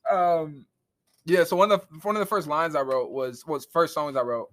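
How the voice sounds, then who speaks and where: Male rapper guest, 20s, relaxed storyteller. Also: on the high side. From Southern California. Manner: relaxed, laughs easily, drawn-out fillers. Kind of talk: casual storytelling. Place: recording studio.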